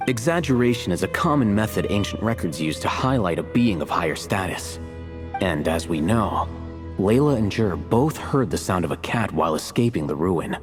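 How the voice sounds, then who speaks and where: A learned scholar from a video game with a deep, low voice. He is grave and composed, wording everything formally and with measured calm. Music plays underneath. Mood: grave, explanatory.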